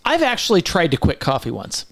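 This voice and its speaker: A man co-hosting a casual podcast, talking in a halting, stammering way and often repeating his words. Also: a little high, wildly swooping pitch, vocal fry. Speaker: podcast co-host. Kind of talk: casual chat.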